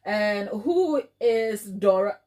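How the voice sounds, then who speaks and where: A woman's voice: medium pitch, lively intonation, drawn-out words, mellow. A woman with a Ghanaian accent hosting a casual live chat, affectionate and playful, often repeating phrases for emphasis. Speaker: baking livestream host.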